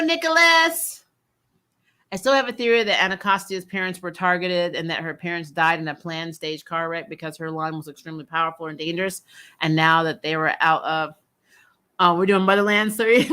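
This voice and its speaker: A woman's voice: mid-range voice, bright, forceful tone. A woman hosting a TV recap, chatting casually and bluntly with viewers, unfiltered and free with swearing. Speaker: host of a TV recap show, reviewer. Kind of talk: casual livestream chat answering viewer questions.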